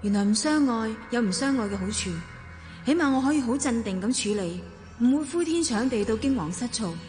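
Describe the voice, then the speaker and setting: A composed, considerate mother voiced by an actress in a radio drama. Her voice sits fairly high, with music clearly playing behind it. She speaks and narrates with steady self-control. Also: moderate pace, close to an everyday voice. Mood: sombre narration. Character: composed married mother, calm under crisis, considerate. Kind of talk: Cantonese radio drama acting and first-person narration.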